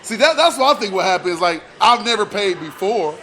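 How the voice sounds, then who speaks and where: A high-pitched American man co-hosts a sports radio show. He talks in loose, slangy banter and doubles up on words and phrases to drive his point home, in an animated way. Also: fairly quick, low-quality recording.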